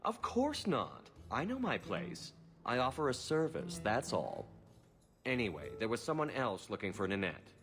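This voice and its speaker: A charming, flirtatious courtesan. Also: male voice, medium pitch, wildly swooping pitch, normal speed. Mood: flirty. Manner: smooth, flirtatious, self-mocking. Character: charming male courtesan, playful and wistful. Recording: game cutscene with guitar music.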